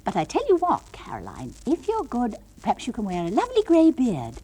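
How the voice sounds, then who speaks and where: Swooping, patronising English nursery teacher. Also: female voice, a little high, huge pitch swings, a little fast, breathy edge, heavily acted. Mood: bright, coaxing. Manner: sing-song coaxing with gentle firm scolding. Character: patient, brightly patronising female nursery school teacher of small children. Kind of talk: comic monologue, one side of classroom talk.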